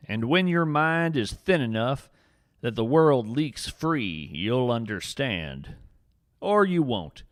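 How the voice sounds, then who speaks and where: Animated, theatrical man playing a whimsical naturalist storyteller. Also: medium pitch, dry recording, studio quality. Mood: solemn, hushed. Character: whimsical veteran male cryptid naturalist, earnest, folksy adventurer. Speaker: podcast host and narrator. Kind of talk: scripted fiction podcast narration, storytelling and poetry reading.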